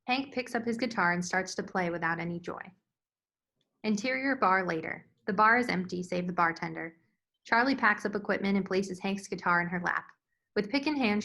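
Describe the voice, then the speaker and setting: A woman's voice: mid-range voice, gravelly, sharp tone. A woman narrator reading scene headings and stage directions from a screenplay in a scripted style.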